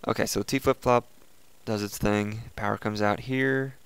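A young man with a raspy voice, casually chatting and thinking aloud as he hosts a gameplay video. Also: on the low side, normal speed, no room sound. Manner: relaxed, chatty, thinking aloud while playing. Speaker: let's play host.

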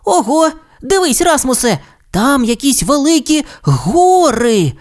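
An excitable little dinosaur boy from a storybook, voiced by a woman. The voice is high and very animated. He is eager, fearless and pushy, forever coaxing and exclaiming. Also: moderate pace.

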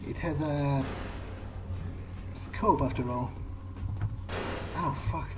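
Casting: male gamer, medium pitch, wry muttered in-character commentary, clear background noise. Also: drawn-out words. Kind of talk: in-character game commentary, thinking aloud. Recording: clearly noisy, game ambience behind.

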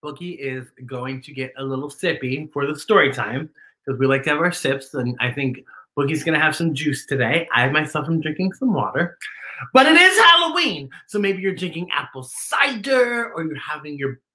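A man presents for children in a bright, very animated voice that swings up and down. He is theatrical and exclamatory, often slipping into playful sing-song. His words are slightly muddied, as if spoken around false teeth. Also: a little high, normal speed. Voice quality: slightly impeded articulation from costume fangs. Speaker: children's story-time host, drag performer.

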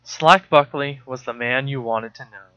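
A man's voice: medium pitch, normal speed, sharp tone, clean tone, lo-fi sound. A man reading aloud and commenting off the cuff as a host, sarcastic and incredulous, with exasperated, profane asides.

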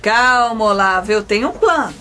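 A bossy Brazilian wife in a comic radio soap. She is emphatic and scheming, with a high, swooping voice over slight background noise. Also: expressive, moderate pace, bright, forceful tone. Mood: determined, scheming. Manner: emphatic, coaxing, pushy. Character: bossy, scheming, determined young mother and wife.

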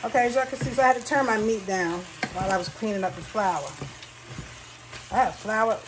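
A woman's voice: mid-range voice, a little fast. A woman talking viewers through a recipe in her home kitchen, chatty and calling them y'all, recorded by a camera near the stove. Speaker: home cook vlogger. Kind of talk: casual cooking demonstration. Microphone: camera on a counter nearby. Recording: audible kitchen noise, food sizzling.

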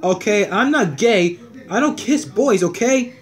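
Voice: medium pitch, expressive, a little slow, close to an everyday voice. A performer voicing a bossy, easily outraged cartoon hedgehog dad in an improvised skit, scolding in repeated exclamations. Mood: annoyed.

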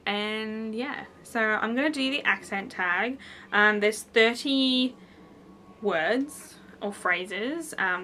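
Young woman, broad New South Wales Australian accent, bright voice, rambling casual vlogger, small room. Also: fairly high pitch, slight background noise. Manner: chatty, rambling with many ums and likes. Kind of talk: casual vlog chat. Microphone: camera in front, head and shoulders framing.

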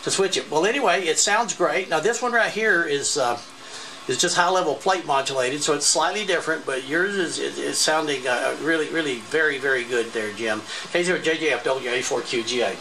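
A man talking casually as an amateur radio operator, halting as he thinks aloud while filming in his radio room. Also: on the high side, pushed and bright. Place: indoor home radio room. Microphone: handheld camera mic. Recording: noisy handheld camera recording.

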